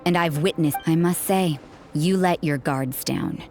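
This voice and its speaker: A woman's voice: low-pitched, a little mellow, faint music behind. A woman playing a cool, suspicious, sardonic nun in a video game. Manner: dry, sardonic, deliberate. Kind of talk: video game character acting.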